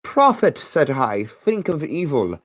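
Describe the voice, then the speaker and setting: A man's voice: mid-range voice, big pitch swings, takes it slowly, darkish tone, no room sound. A man giving a theatrical, emphatic dramatic recitation of poetry as an amateur reader. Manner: theatrical, with big emphatic swings.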